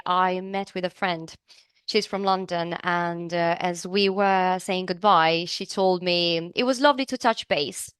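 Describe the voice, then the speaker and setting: A woman's voice: medium pitch, a bit bright, clear, resonant tone, no echo. A woman from Serbia, teaching business English in a live online class, upbeat and chatty, asking the class questions.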